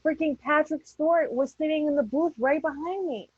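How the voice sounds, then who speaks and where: A woman's voice: high-pitched, moderate pace, no echo, narrow, tinny audio. A woman chatting casually with slangy phrasing and some easy swearing. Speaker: podcast cast member. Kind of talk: casual chat.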